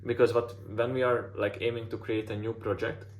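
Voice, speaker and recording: Man, non-native English speaker, mentor giving feedback, low voice, flat, many "like" fillers, faint background noise. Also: brisk, dull audio. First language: not English. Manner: frequent "like" fillers and restarts. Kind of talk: casual feedback session.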